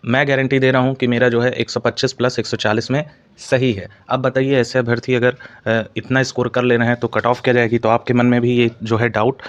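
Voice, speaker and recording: A man's voice: medium pitch, level tone, a little fast, lo-fi sound. A man explaining exam information to students as a channel host, in an explanatory way with repeated stock phrases.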